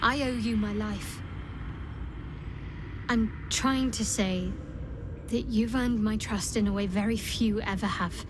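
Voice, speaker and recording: A woman's voice: medium pitch, steady tone, slow pace, long pauses, lightly acted. An actress playing a guarded, devout young woman cleric in a fantasy video game, speaking earnestly and solemnly. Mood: earnest, confiding. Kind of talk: video game character dialogue. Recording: clear ambient noise behind the voice.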